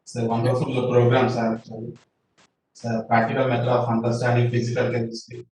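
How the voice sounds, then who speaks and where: A man teacher giving short, monotone feedback over a video call. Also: medium pitch, a little fast, a little hiss or hum. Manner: flat, monotone. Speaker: school teacher. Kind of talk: workshop feedback. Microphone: video call, distant. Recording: very echoey, distant call mic.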